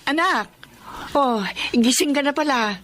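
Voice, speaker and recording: A woman's voice: high-pitched, measured pace, fairly bright tone, a little hiss or hum. An actress playing an eager, affectionate mother home from working abroad, earnest and longing for her daughter, in a radio drama.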